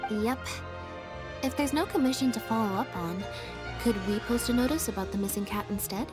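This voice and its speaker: Game role: a woman playing a cool, deadpan young magician's assistant, a touch high, flat and dry. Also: a little fast, music in the background, lightly acted. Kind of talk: video game voice acting.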